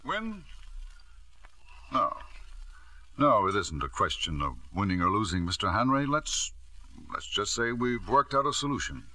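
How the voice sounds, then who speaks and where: An actor playing a ruthless self-made millionaire father, narrating and acting in a 1940s radio drama with a crisp, sardonic delivery. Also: on the low side, big pitch swings, unhurried, pauses often, sharp tone, dry recording, exaggerated voice. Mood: cool menace. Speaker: narrator and lead character. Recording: dry old radio broadcast.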